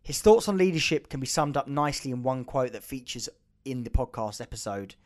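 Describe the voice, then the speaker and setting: A man's voice: mid-range voice, lively intonation, brisk. A man hosting a podcast, reading a scripted intro, then chatting casually with a guest, laughing and restarting his sentences often. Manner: upbeat, laughing, many fillers and restarts in conversation. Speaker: podcast host.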